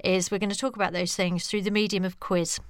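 A woman's voice: medium pitch, level tone, fast talker, no echo. A woman hosting a chatty comedy quiz interview, asking questions in a teasing way. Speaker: host, quizmaster. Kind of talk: chatty comic interviewing and quizzing.

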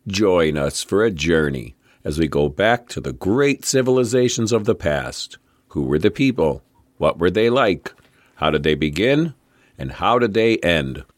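A podcast host putting on a slow, grand trailer-announcer voice, very low and rumbling, with a frog in the throat. It sounds dry and close, as if right at the mic. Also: male voice, sing-song, no room sound. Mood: dramatic. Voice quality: deep, slow and dramatic. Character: deep-voiced male movie-trailer announcer. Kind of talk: dramatic announcer intro.

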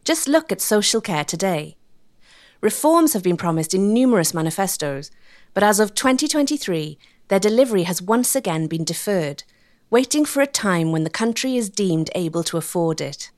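Casting: female documentary narrator, scripted read with highly varied, expressive pitch. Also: normal speed.

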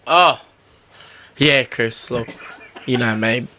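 A man's voice: mid-range voice, slow pace, pushed and bright, slight background noise. An Australian man co-hosting a casual live podcast, swearing and joking around, a little tipsy. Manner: swears a lot, joking banter. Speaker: podcast co-host.

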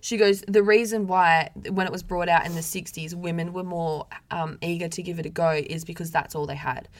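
A woman's voice: fairly low pitch, fairly even intonation, a little fast, no echo. Young woman, blunt and sweary, dry sound. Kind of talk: casual chat among friends.

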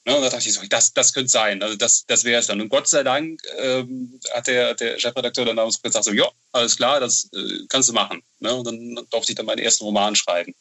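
A man talking casually as an interview guest, telling stories haltingly, searching for words and adding frequent tag questions. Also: medium pitch, steady tone, pushed and bright. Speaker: novelist and TV writer, interview guest. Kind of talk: casual interview chat, storytelling.

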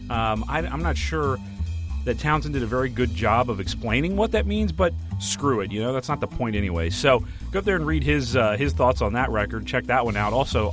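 A hoarse man, hosting a solo podcast and giving his opinions on films in a rambling, casual way. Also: mid-range voice. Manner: rambling, opinionated, many fillers and restarts. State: hoarse from a flu. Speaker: podcast host and film critic.

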